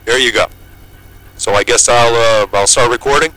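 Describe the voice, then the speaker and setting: A male actor plays an uneasy pilot in an audio drama, plain-spoken and a little crude. His mid-pitched voice is bright and forward, with hesitant, everyday phrasing and a well-meaning air. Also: normal speed, slight background noise, lightly acted, poor audio. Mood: hesitant, puzzled. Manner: hesitant, colloquial. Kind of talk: naturalistic audio drama acting.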